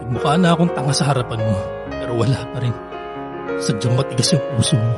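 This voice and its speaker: An actor with a Filipino accent playing a grieving, guilt-ridden young husband pleading in an emotional radio drama. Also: male voice, medium pitch, fast, over loud music, natural voice. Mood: weary, defeated.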